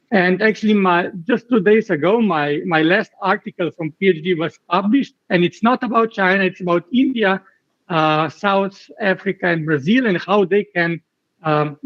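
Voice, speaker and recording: A man's voice: high voice, highs cut off. Man lecturing over a video call. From Slovenia. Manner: halting, repeats words, many fillers. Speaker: keynote lecturer. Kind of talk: online keynote lecture.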